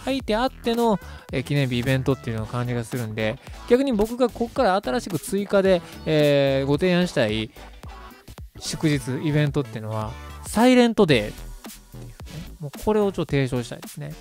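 A man's voice: fairly high pitch, sing-song, a little fast, darkish tone, soft background music. A chatty man around thirty co-hosting a casual radio show, talking through his own idea at length. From Japan, Kansai region. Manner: chatty, self-described talkative. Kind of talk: casual monologue pitching an idea.

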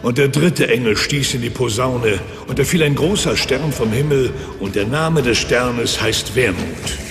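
Cavernous, gravelly biblical prophet. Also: male voice, mid-range voice, lively intonation, unhurried. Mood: solemn, ominous. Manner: solemn, portentous. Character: solemn male biblical prophet reciting apocalyptic visions. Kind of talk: dramatic scripture reading. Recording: long cavernous reverb under music.

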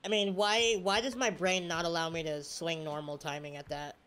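A young man streaming a baseball game, talking casually and yelling at the plays, a bit congested with a slight cough, on a headset mic at home. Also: fairly high pitch, normal speed, music in the background. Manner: excitable, yelling at the game, slangy "bro". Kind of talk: casual gameplay commentary.

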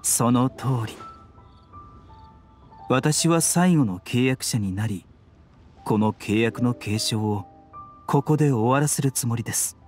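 An actor playing a serene, idealistic young male physician in a game, speaking in polite, measured, philosophical phrases. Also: mid-range voice, a little mellow, faint music behind, lightly acted. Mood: composed, determined. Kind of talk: scripted game dialogue.

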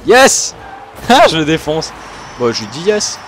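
A young man from Switzerland, commentating his own gameplay excitedly and laughing often. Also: on the high side, unhurried, slight background noise. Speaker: gaming YouTuber.

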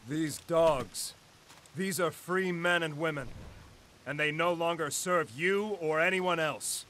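A man's voice: a little high, takes it slowly, a bit bright, a little hiss or hum. An actor playing a proud, noble young rebel king in a video game, speaking in earnest, heroic fashion. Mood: proud defiance. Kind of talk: video game drama acting.

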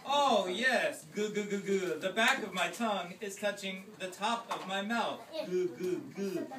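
A man's voice: high voice, unhurried. A man playing a puzzled, deferential royal scholar in an amateur puppet show, speaking hesitantly. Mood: curious, hesitant. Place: classroom, performers behind a draped table. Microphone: distant camera. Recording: noisy, echoey classroom from far off.

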